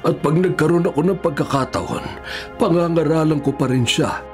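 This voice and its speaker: A devout old man in prison played by a male actor speaks in an earnest, preaching way. He is patient and forgiving, in radio drama style, with soft music behind. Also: on the high side, moderate pace, close to an everyday voice. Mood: gentle, earnest.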